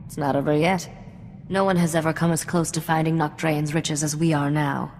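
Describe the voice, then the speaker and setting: A woman's voice: low-pitched, level tone, moderate pace, a little mellow, in a large hall, slight background noise. A woman playing a guarded, confident young smuggler's associate in a video game, controlled and matter-of-fact. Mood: cool, businesslike.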